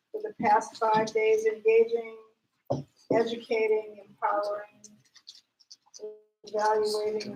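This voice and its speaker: A woman's voice: on the high side, background noise. A woman speaking casually to a group as a workshop facilitator.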